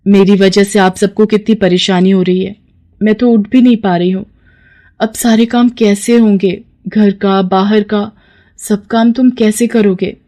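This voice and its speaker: A woman's voice: mid-range voice, fairly even intonation, unhurried, mellow, close to an everyday voice. Woman playing a devoted, encouraging village wife. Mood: worried. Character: devoted, encouraging wife who makes sweets.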